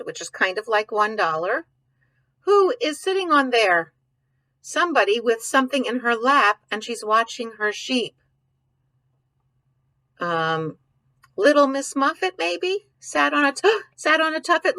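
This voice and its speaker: Female storyteller for young children, slow delivery, informal asides and simple questions, dry recording. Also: sits high, sharp tone, dry recording, rough recording. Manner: questioning, musing aloud, explaining simply. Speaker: storyteller reading a picture book to children. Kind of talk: read-aloud with informal commentary to young children.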